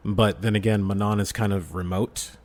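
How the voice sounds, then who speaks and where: A man with a fairly low voice talks casually on a podcast. His delivery is animated, and he readily slips into character voices as he makes his points. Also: normal speed.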